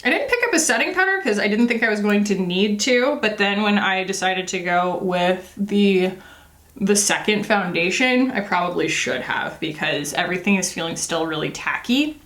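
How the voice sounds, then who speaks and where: A woman's voice: medium pitch, moderate pace, fairly bright tone, reverberant. A woman in her thirties, a beauty vlogger chatting casually and rambling while she does her makeup, with lots of fillers and self-deprecating asides.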